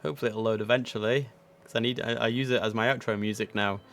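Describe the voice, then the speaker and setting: A man's voice: medium pitch, steady tone, fast, fairly bright tone, slight background noise. A man hosting a casual live game stream, chatting and musing aloud as he commentates. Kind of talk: casual live gameplay commentary on an air traffic control simulator.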